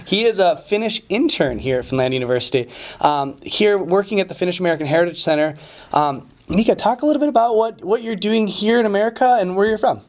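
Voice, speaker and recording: Guy hosting a campus chat show, talks fast, kinda higher voice, laughs a lot. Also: lively intonation, a little mellow. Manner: laughs often, chatty. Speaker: host. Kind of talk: casual interview.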